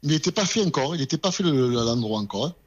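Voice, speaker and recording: A man's voice: mid-range voice, expressive, fast talker. A man calling in as a company director, talking in a hurry and repeating himself, heard over a phone line.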